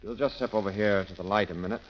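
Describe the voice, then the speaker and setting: An actor playing an intense, obsessive inventor, brusque and excitable, in 1930s radio romance style. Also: male voice, on the low side, fast, natural voice. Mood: brusque, intent. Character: intense, obsessive male inventor experimenting on skin cures. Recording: muffled, crackling 1930s radio recording.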